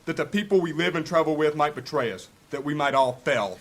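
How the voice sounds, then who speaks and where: An actor playing a bitter, hot-tempered warrior in a fantasy audio drama, scornful and argumentative. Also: male voice, fairly high pitch, animated, brisk. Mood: angry, bitter.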